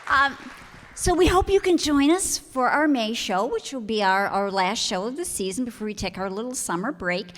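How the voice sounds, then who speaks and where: A woman's voice: fairly high pitch, animated, moderate pace, fairly bright tone, a faint crowd. A woman, a theater director, making informal announcements to an audience in a town hall. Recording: reverberant hall.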